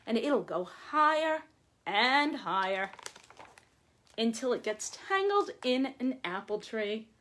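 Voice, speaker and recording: Casting: woman, forties, slightly high voice, expressive storytime reader for small children. Home-video sound with some noise. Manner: expressive, deliberate phrasing to children. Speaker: children's librarian hosting storytime. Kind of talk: read-aloud storytime for young children. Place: small room at home or office, by window. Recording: clearly noisy home video with clicks.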